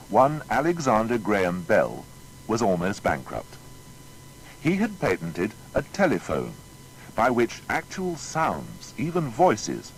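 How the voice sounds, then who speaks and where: A man narrating a scripted documentary biography in a formal, storytelling manner. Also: poor audio. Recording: faint noise with low rumble.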